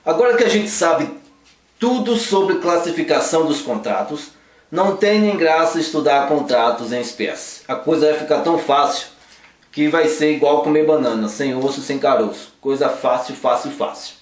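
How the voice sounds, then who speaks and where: A man's voice: a little high, normal speed. A man from the Northeast, teaching law in a playful, joking way and teasing a sidekick. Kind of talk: joking casual law lesson. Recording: roomy echo, a bit far from the mic.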